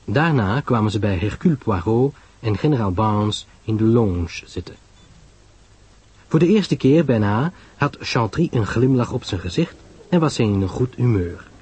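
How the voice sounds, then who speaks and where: A man's voice: on the low side, lively intonation, normal speed, a little mellow, breathy edge. A man narrating a dramatised story from a script, as the storyteller between dialogue scenes.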